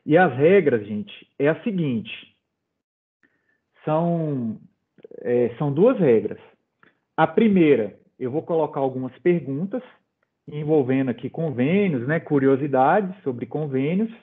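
A middle-aged man presenting in an online webinar, talking informally with many fillers and light jokes to the audience. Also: fairly high pitch. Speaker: presenter running a quiz. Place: home office. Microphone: video call. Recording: phone-like video call audio.

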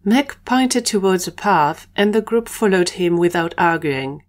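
Woman narrator reading an adventure story from a script, voice a little on the low side. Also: expressive, measured pace.

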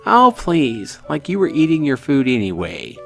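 A man's voice: on the high side, wildly swooping pitch, moderate pace, vocal fry, a bit bright, faint music behind, pitched above the performer's own voice, full character voice. A man voicing a doting, fussing middle-aged mother in a visual novel, cajoling and theatrical. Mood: fussing, concerned.

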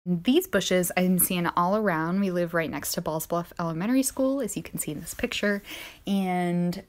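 A woman's voice: lowish voice, normal speed. A young woman from the eastern United States, chatty and upbeat, leading young children through a casual nature-walk lesson, recorded outdoors on a phone held at arm's length. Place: outdoors in a sunny neighborhood.

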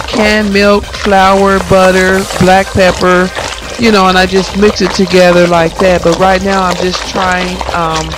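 A middle-aged woman talks to her viewers in a slow, steady, fairly flat way, her voice hoarse from a cold. She sounds keen and chatty as she walks through each step, with soft music playing clearly behind her. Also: lowish voice, poor audio. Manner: chatty, enthusiastic. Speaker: cooking vlogger. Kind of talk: casual cooking vlog. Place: parked car. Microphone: phone camera held close.

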